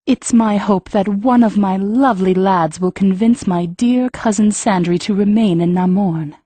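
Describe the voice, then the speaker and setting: Poised empress, played by a woman, mellow and slightly husky, formal with dry irony. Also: medium pitch, no echo, lightly acted. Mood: wry, regal. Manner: formal, measured, dryly ironic. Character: regal, wry empress, poised and cool.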